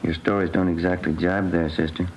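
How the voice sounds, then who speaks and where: A man's voice: deep voice, flat delivery, fairly quick. An actor playing a plainspoken, skeptical police detective in a 1950s radio drama, dry and laconic as he questions. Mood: matter-of-fact. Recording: dull old radio recording, faint noise.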